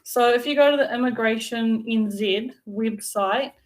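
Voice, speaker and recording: A woman, teaching English in a relaxed, friendly chat over a video call, with frequent ums. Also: a little high, moderate pace, noisy background. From New Zealand. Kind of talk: casual lesson conversation.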